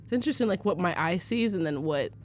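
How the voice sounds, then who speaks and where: A woman's voice: lowish voice, expressive, quick pace, a little hiss or hum. A young American woman from Arizona giving a casual live photo-editing tutorial, chatty and full of fillers, laughing easily. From United States (Arizona). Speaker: livestream presenter teaching photo editing.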